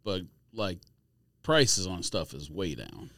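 A man's voice: low voice, sing-song, takes it slowly, gravelly, a bit bright, dry recording, clean studio sound. An American man co-hosting a podcast, explaining things in casual conversation.

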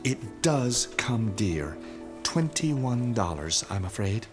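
Courteous, expressive male shop clerk. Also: lowish voice, over music. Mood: courteous, attentive. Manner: polite, measured, formal. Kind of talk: stage-style radio drama acting.

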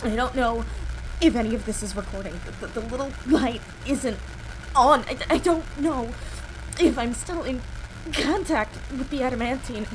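A woman playing a terrified stranded space explorer in an audio drama, crying and pleading in halting, stammered phrases. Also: on the high side, noisy background, natural voice. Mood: despairing, frightened. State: crying, panicked.

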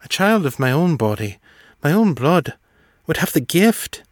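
A man's voice: a little high, very animated, unhurried, no echo, studio quality, voice pushed up. A man voicing a hearty woman who drinks whiskey, wistful and folksy in her speech. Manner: hearty, slips into a folksy lilt.

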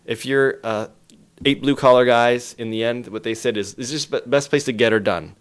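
A man's voice: medium pitch, normal speed, clear, resonant tone. A man speaking as an interview guest, explaining things in an emphatic, storytelling way with acted-out quotes.

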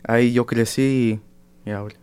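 A young man student talks loosely in a group conversation, his voice clear and smooth. He drifts between languages mid-sentence in an easy, informal way. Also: mid-range voice, steady tone, normal speed, a little mellow. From United States. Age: young adult. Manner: casual, switches into English mid-sentence. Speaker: university student. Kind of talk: casual group discussion.

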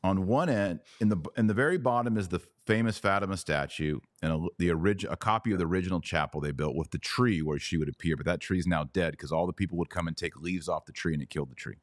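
Low, deep-voiced male podcast host. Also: fast, hardly any pauses, dry recording, studio quality. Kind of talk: casual conversational commentary.

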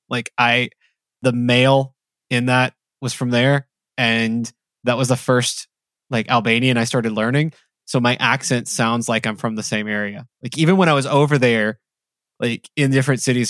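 Male podcast co-host, mid-pitched, loose chatty delivery, dry close mic. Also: no room sound. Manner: relaxed, rambling with frequent "like" fillers. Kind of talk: casual conversation.

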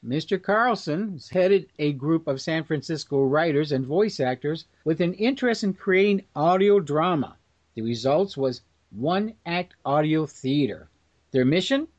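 Male moderator, fairly high voice, courteous and formal, hosting a roundtable on slightly lo-fi audio. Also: lively intonation, moderate pace. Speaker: podcast host.

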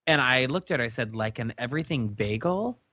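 A man co-hosting a casual podcast, tossing off quick jokes and wordplay. His pitch bounces around a lot and his tone is bright. The recording is dry and close. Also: animated, no room sound. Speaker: podcast co-host. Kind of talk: casual chat.

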